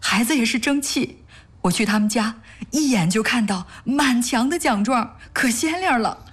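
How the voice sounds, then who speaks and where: Caring earnest wife in a radio drama, bit husky, soft-hearted. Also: female voice, a little high, lively intonation, moderate pace. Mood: earnest, grateful. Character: caring, tender-hearted wife devoted to charity work. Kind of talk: sentimental audio drama dialogue.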